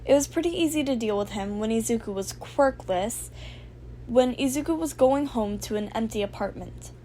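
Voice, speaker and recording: A woman reading fan fiction aloud as an amateur narrator, in an expressive storytelling style. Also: a little high, normal speed. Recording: faint background noise.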